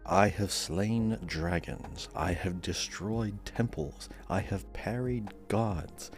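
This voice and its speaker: A man's voice: lowish voice, slow pace, soft background music. A man voicing a boastful legendary weapon that recites a grand riddle in a grandiose manner. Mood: solemn boasting.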